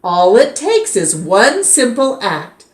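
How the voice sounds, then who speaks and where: Storybook teacher, cheery and sing-song, read by a woman, slow, lively pitch. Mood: encouraging. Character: cheerful rhyming female schoolteacher. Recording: roomy echo.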